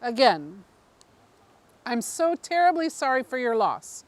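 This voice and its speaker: A narrator, a woman, reads as a female reporter in a story, polite yet pushy. The voice is high and animated, pressing its questions with tact. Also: unhurried, dry recording. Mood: politely prying. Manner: tactful, insistent questioning. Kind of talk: audiobook character dialogue.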